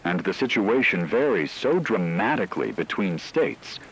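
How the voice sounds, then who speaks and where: Very deep-voiced man reading news analysis. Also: big pitch swings, moderate pace, slightly rough, poor audio. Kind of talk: scripted news analysis narration.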